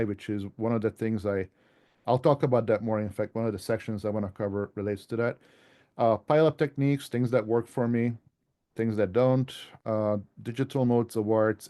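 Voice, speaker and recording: Mellow-voiced man giving an informal talk. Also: fairly low pitch. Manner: conversational, self-deprecating. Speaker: author giving a club presentation. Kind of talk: informal slide presentation.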